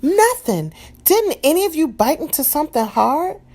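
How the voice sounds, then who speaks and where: Woman reader doing a high, anxious young girl, earnest and emotional; storybook voice, gravelly edges. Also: big pitch swings, normal speed, a little mellow. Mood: alarmed. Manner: high, cries out urgently.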